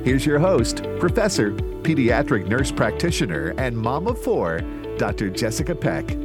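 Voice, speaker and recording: A male announcer gives the scripted opening of a radio show in a polished delivery. His pitch moves in a lively way. Loud instrumental music plays under him. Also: measured pace. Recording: intro over loud music.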